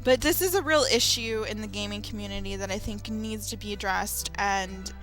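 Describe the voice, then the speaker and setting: A woman in her twenties speaking casually and unscripted to viewers, in an earnest, emphatic, halting way. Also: mid-range voice, fairly bright tone, poor audio. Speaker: gamer, video maker. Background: background music.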